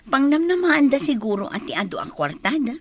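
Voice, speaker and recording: A woman's voice: high voice, sing-song, fairly quick. An actress playing an emotional village farm woman in an Ilocano radio drama. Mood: conversational. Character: village farm woman, anguished daughter losing her mother.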